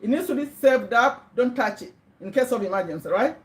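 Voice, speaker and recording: A middle-aged Ugandan woman talks straight into a webcam, chatty and warm in pitch, repeating words to drive a point home. Her voice sits a little high for a woman. The room around her is bare and echoey. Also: lively intonation, a little fast. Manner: chatty, checks in with viewers, repeats words for emphasis. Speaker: livestream host. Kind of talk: casual motivational livestream.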